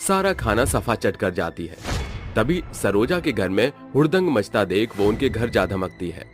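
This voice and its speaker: Low-voiced male cartoon narrator. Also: big pitch swings, normal speed, soft background music. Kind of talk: scripted storybook narration.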